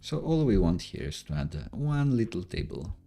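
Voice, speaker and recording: Deep-voiced Greek-born man live coding. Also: normal speed, creaky. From Greece. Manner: relaxed, thinking aloud while coding. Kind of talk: casual live-coding chat. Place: home room. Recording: faint music behind the voice.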